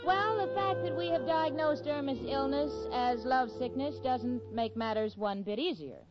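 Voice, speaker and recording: An actress playing a sensible, wry, protective young roommate in a 1940s radio sitcom. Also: female voice, high voice, moderate pace, loud music behind the voice, natural voice. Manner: expressive, wisecracking. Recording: dull, noisy vintage 1940s radio.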